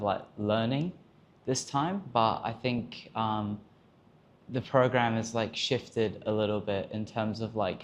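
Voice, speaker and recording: A man's voice: fairly low pitch, slight background noise. A young Australian man, a camp counsellor, chatting reflectively in an interview with many fillers. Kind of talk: casual interview answers.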